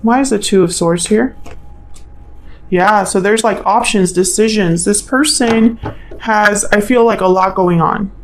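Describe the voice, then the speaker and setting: A woman's voice: mid-range voice, a little slow, a little hiss or hum. A woman giving an informal tarot reading as host, musing and thinking aloud in a tentative way. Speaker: tarot reader, channel host.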